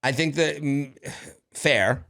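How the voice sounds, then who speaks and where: A man in his thirties co-hosting a podcast in casual chat, animated, acting out quoted lines. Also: mid-range voice, slow, creaky. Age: 30s. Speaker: podcast co-host.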